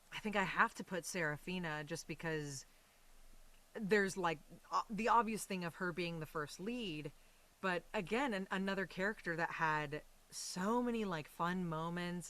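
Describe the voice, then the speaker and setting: A woman's voice: lowish voice, bright, forceful tone. An American woman talking casually and animatedly as an interview guest. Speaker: guest, voice and stage actress.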